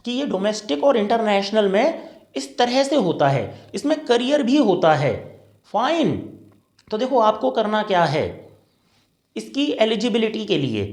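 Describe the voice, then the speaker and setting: A man's voice: very high-pitched, darkish tone, roomy sound. A man with an Indian accent, a career trainer explaining patiently and checking that his listeners follow. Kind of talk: classroom-style explanatory talk.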